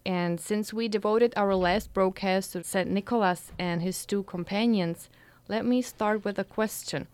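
A woman from Bohemia, hosting a radio interview and giving clear, prepared introductions. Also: medium pitch, a little slow, hardly any pauses, a little mellow, no room sound. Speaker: radio host. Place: radio studio.

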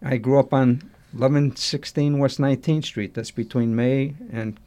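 Older man, mellow dark voice, halting reminiscing interview answers. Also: mid-range voice, fairly even intonation. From Chicago, USA. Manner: halting, restarts sentences, warmly nostalgic. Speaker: interview guest.

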